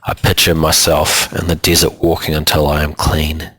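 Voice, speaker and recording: Man as an old elder storyteller, slow and solemn, very deep voice, forceful. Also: monotone, breathy edge, exaggerated voice.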